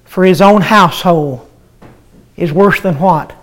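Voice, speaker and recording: An older preacher with a very high voice chants his sermon in a slow, sing-song rhythm. He halts often with filler sounds and calls out to his listeners by name between drawn-out cries. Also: poor audio. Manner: halting, many filler sounds between phrases. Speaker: pastor.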